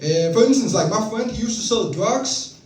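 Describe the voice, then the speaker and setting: A man with a Danish accent performing a stand-up comedy routine in a casual, halting, chuckling way. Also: high-pitched, expressive. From Denmark. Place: live comedy venue with audience. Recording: roomy, distant mic, audience noise.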